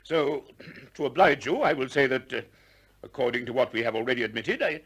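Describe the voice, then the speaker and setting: A dignified older philosopher in a radio play. His husky voice moves expressively up and down. He speaks formally, hesitating as he reluctantly gives ground. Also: medium pitch, animated, fairly quick, fairly bright tone. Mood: grudging, weary. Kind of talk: 1960s radio drama acting of philosophical dialogue.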